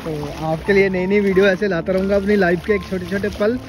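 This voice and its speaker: A man vlogging while wading along a shore at night, chatting excitedly and a little nervous, filmed on a phone. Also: sits high, fast talker, over music. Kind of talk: casual vlog commentary.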